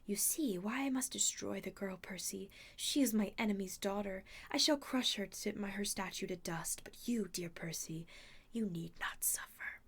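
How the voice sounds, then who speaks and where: A woman voicing Medusa, a menacing old monster woman with a breathy, crooning, coaxing voice in a storybook reading. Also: lowish voice, steady tone, normal speed, a bit bright. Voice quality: breathy, whispery. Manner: soothing, crooning, purring, snarls when crossed. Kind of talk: audiobook character voice.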